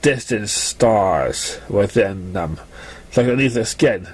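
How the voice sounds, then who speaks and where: A man reading a story aloud as an amateur narrator, with halting, stumbling delivery. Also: lowish voice, expressive, husky. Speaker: amateur fan fiction reader.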